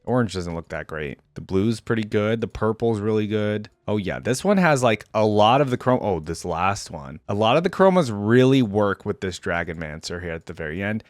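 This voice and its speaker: A man's voice: on the low side, expressive, moderate pace, hardly any pauses, dry recording. Casual male reaction host, close dry sound. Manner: conversational, thinking aloud. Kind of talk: casual off-the-cuff reaction commentary on game skins.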